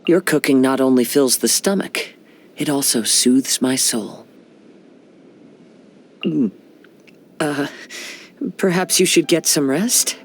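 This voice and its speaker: A man voicing a young wandering samurai in a video game, gentle and serene, speaking in a soft, reflective, poetic manner. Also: mid-range voice, animated, slow, pauses often. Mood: soft, kindly. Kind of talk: video game character voice lines.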